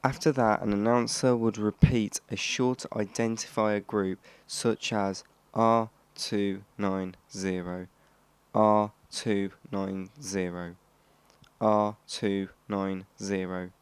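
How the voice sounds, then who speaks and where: A man's voice: fairly low pitch, little pitch movement, takes it slowly, clear, resonant tone, no room sound. A man reading scripted narration as a host, stumbling over and restarting phrases now and then. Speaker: host of a shortwave radio history channel.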